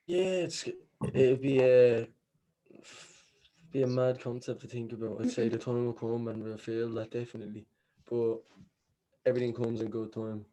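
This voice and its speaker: Irish rapper guest, relaxed chat, mid-pitched, easy laughs. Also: male voice, moderate pace, poor audio. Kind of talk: casual chat.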